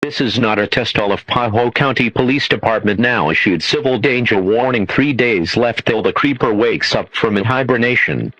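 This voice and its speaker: A man's voice: mid-range voice, normal speed, hardly any pauses, a bit bright, lightly acted, rough recording. A man acting as a county emergency alert announcer issuing official warnings. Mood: grave warning.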